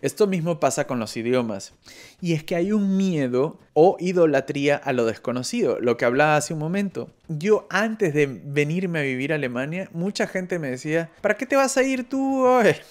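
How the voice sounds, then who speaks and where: A man's voice: on the high side, lively intonation, normal speed. A man from Peru talking through personal stories in a casual, reflective monologue as host of a language-learning channel. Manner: reflective, storytelling with personal anecdotes. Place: living in Germany.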